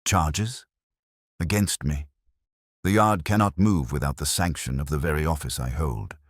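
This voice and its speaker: A man's voice: a bass voice, measured pace, no echo, studio quality. A man voicing a haughty, cornered lord in an audiobook mystery, cold, bitter and pompously contemptuous. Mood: defiant.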